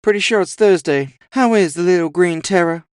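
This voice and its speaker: Man putting on a sassy, high-pitched woman. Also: normal speed, smooth, no echo, studio quality, close to an everyday voice. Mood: teasing. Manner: sassy, familiar, calls people honey. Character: sassy, teasing woman on a phone call. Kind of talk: audio fiction character voice.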